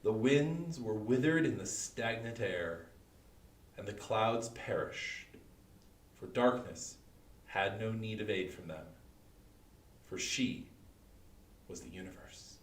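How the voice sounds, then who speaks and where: A man reads dark poetry slowly and solemnly, leaving theatrical pauses between phrases. His voice is of medium pitch and sounds distant from the mic in an echoey room. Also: expressive. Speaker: volunteer or library staff reader. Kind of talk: dramatic poetry reading.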